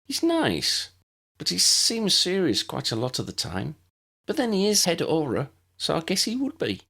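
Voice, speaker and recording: Young newcomer girl for audiobook, male performer: high swooping pitch, polite, curious, hesitant. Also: wildly swooping pitch, moderate pace, vocal fry, sharp tone, slightly rough, full character voice. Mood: thoughtful. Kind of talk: audiobook character voice.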